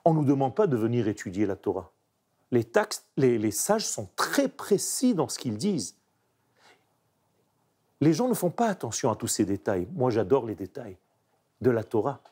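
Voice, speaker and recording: A man's voice: medium pitch, breathy edge. A man, a painter speaking French as a second language, reflecting emphatically in an interview. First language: not French. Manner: animated, emphatic, searching pauses. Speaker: painter guest.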